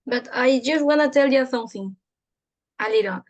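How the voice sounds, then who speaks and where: A woman's voice: high voice, moderate pace, highs cut off. A woman in her twenties from Peru, hosting a casual English practice conversation in learner English, earnest and encouraging. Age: 20s. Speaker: conversation host.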